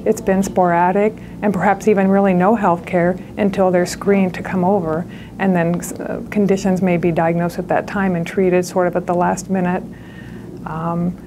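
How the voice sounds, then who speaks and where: A middle-aged woman explains as a doctor would in an interview, with very little movement in pitch. There is clear background noise around her. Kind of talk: documentary interview. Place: medical office indoors.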